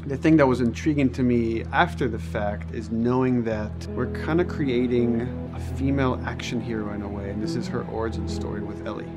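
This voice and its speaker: A man's voice: mid-range voice, fairly quick, hardly any pauses. A man explaining his work in a documentary interview as a game developer. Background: background music.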